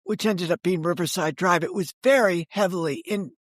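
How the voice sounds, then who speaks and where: Low-pitched older woman, close dry sound. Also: normal speed, no room sound. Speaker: guest, author and editor. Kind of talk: conversational interview storytelling.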